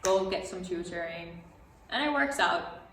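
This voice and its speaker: A woman's voice: lowish voice, expressive, measured pace, a bit bright. A young woman from Jamaica chatting casually and laughing often as she hosts a talk with fellow students. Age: young adult. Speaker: host. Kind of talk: casual chat. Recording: echoey room, faint room noise.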